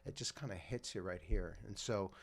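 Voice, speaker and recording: A middle-aged man hosting an interview over a video call, admiring and a little halting, with frequent restarts. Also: low-pitched, breathy edge. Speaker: interview host. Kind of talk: casual interview. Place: home room with bookshelves. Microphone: video call with desk mic.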